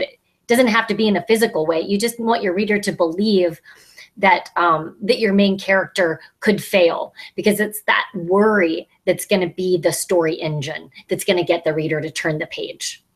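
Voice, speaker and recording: A woman in her thirties casually explaining writing craft as a panelist on a video-call podcast. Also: fairly low pitch. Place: small plain room, indoors. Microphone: earbud headset on a video call.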